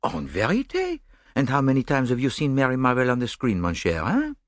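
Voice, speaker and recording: A man's voice: medium pitch, wildly swooping pitch, fairly quick, no echo, clean studio sound, pitched above the performer's own voice. A man voicing a vain, fussy middle-aged Belgian detective with a Belgian French accent and a smug, theatrical manner. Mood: placid smug.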